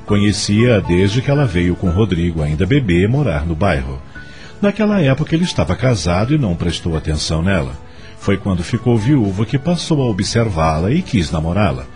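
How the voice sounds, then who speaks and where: A man's voice: sits low, big pitch swings, normal speed, darkish tone, soft background music. A man narrating a scripted radio drama as its storyteller.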